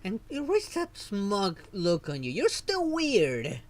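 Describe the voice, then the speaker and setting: A man's voice: high voice, wildly swooping pitch, slow pace. A man streaming a game, chatting casually with wry asides. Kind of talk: casual gameplay commentary. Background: faint game music.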